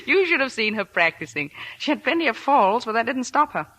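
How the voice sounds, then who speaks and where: A young actress speaking as a guest in a scripted 1940s radio interview. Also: female voice, fairly high pitch, very animated, fairly quick, sharp tone, poor audio. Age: young adult.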